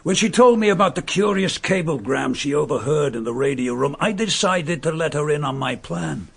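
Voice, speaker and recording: Sneering impostor, murderous man, gravelly, radio drama. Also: a little high, lively intonation, moderate pace, a bit bright, exaggerated voice. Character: murderous male impostor, mocking and bitter. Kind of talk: vintage radio drama acting.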